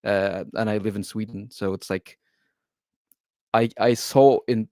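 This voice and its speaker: Casual man from Turkey, lowish voice. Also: steady tone, measured pace, warm tone, no room sound, studio quality. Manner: casual, many "like" fillers. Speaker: panel guest. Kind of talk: livestream panel discussion.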